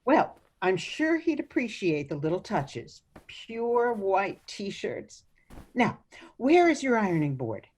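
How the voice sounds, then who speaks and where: A woman acting in a radio play as a sweetly meddling, fussy old mother-in-law with a genteel, gently disapproving manner. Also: mid-range voice, big pitch swings, takes it slowly, breathy edge, heavily acted. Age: older.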